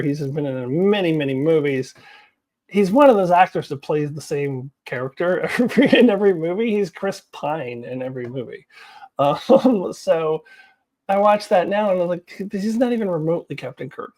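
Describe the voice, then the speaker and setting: A woman's voice: low voice, a little mellow. A woman giving casual, unscripted commentary as a video host, speaking in an emphatic, opinionated way.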